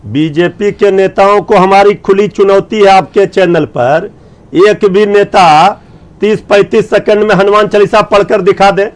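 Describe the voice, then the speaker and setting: A man's voice: high voice, fairly even intonation, a little slow. A male political panelist. He argues hotly, goading his opponents and repeating his challenge. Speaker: political party debate panelist. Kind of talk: heated TV debate argument.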